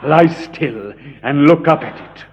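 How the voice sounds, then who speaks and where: An actor playing a cruel inquisitor who torments prisoners with formal, measured menace, in the style of old radio horror. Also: male voice, fairly high pitch. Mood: gloating menace. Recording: muffled, noisy old radio recording.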